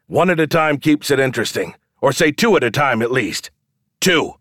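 A man performs a brash male showman from an audiobook, working a crowd for bets. He calls out loudly in a goading, declamatory way, with his pitch lifted. The language is coarse and the recording is dry. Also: animated, moderate pace, no room sound, natural voice. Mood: commanding, bantering. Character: brash, foul-mouthed male showman hustling wagers from a crowd. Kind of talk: audiobook character dialogue.

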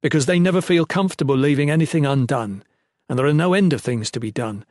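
A man voicing a steady, dutiful male protector in an audiobook, speaking with restrained, formal courtesy. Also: a little high, fast talker, no room sound, studio quality, close to an everyday voice. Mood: earnest.

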